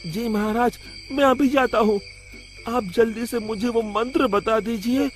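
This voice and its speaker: A man's voice: very high-pitched, measured pace, a little hiss or hum, voice pushed up. A man voicing an anxious, loyal, simple servant in a cartoon moral story, eager and earnest.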